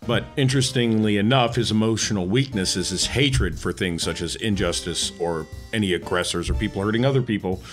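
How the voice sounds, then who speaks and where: A man's voice: lowish voice, fairly quick, hardly any pauses, soft background music. A man, a player on a tabletop game podcast, explaining things in casual talk.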